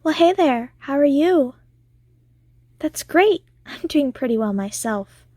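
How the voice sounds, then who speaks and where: A woman's voice: high voice, animated, takes it slowly, a little mellow, no echo, clean studio sound. A woman voicing a wealthy, long-lived alien widow, confident, poised and flirtatious, in an audio roleplay. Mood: confident, inviting. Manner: poised, self-assured, flirtatious.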